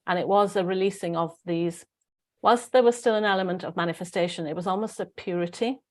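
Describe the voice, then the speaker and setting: Low-pitched Welsh woman hosting. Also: brisk, a little mellow. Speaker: host. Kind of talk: casual spiritual group discussion.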